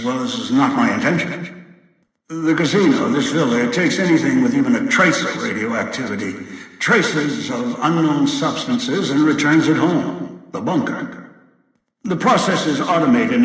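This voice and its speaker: An actor playing a cold, obsessive old male villain in a video game, giving orders in a deliberate, menacing, condescending way. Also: medium pitch, fairly bright tone, lightly acted. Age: older. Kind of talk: video game voice acting, monologue. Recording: very echoey, long reverb, distant.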